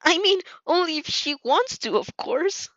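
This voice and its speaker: Woman doing a shy, squeaky flustered anime girl. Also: expressive, a bit bright, no room sound, dull audio, exaggerated voice. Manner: embarrassed, hesitant, drawn-out squeaky delivery. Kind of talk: anime fan fiction dramatic reading.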